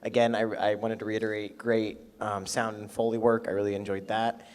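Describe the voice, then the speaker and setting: A man's voice: fairly low pitch, flat delivery, brisk, darkish tone, smooth. A man giving casual feedback to performers as an adjudicator in a reverberant hall. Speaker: festival adjudicator.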